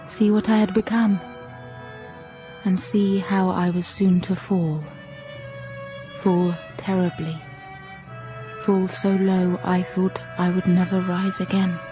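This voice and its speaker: An actress playing a guarded, lonely, quietly yearning young schoolteacher in a radio drama, speaking in a hushed, inward way. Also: female voice, fairly low pitch, little pitch movement, slow, long pauses, music in the background. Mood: brooding. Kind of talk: radio drama acting and first-person narration.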